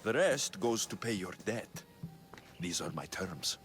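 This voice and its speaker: A gruff, low-voiced young mercenary. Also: male voice, expressive, measured pace, a bit bright, faint music behind. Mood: resigned, wry. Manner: blunt, dry, sardonic. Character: gruff, cynical young male Greek mercenary. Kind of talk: dramatic video game acting.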